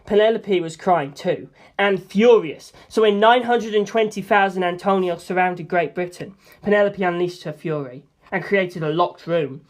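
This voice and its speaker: A woman's voice: fairly low pitch, moderate pace, hardly any pauses. A woman reading a novel aloud as a scripted first-person narration. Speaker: amateur audiobook reader.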